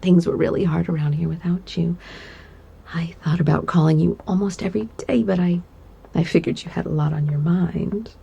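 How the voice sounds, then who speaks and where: A woman acts out a towering yet gentle secretary in an intimate ASMR roleplay. Her voice is very breathy and mellow, sitting a little low. She speaks tenderly, with coy, doting teasing. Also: steady tone, normal speed, muffled recording, exaggerated voice. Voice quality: breathy, soft, often slipping into whisper. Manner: tender, coy, hesitant, giggly asides. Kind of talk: ASMR roleplay, intimate one-sided conversation.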